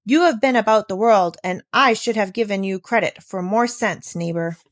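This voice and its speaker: A woman's voice: medium pitch, normal speed, no echo, clean studio sound, lightly acted. A woman voicing a kindly old village pastor in a storybook, earnest and formal in manner. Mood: irritated.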